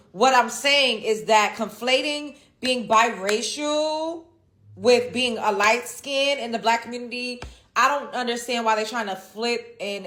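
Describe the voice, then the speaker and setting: Female commentary host, bright and slightly high, emphatic and sassy, speaking off the cuff with strong opinions. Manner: emphatic, sassy, drawn-out stressed words. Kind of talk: casual opinionated reaction commentary.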